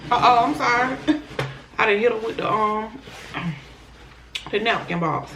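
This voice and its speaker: Hoarse, slow-talking woman in an echoey room. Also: on the high side, noisy background. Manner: laid-back, talks to viewers as y'all between bites. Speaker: mukbang host. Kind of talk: casual eating-show chat.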